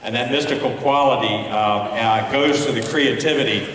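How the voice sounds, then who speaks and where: Halting man in a very echoey hall. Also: medium pitch, a bit bright, slight background noise. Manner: halting, searching for words. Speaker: festival adjudicator giving feedback on plays. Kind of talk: informal spoken critique at an awards ceremony. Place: large hall at a school ceremony. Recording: very echoey hall, distant microphone.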